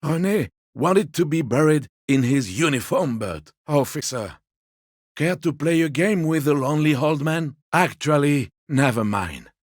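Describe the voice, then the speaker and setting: A man's voice: fairly high pitch, lively intonation, measured pace, no echo, studio quality. An actor playing a lonely old man in a video game, wry and wistful, with a fond bitterness and salty tongue. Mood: gentle, polite. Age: older. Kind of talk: video game character dialogue.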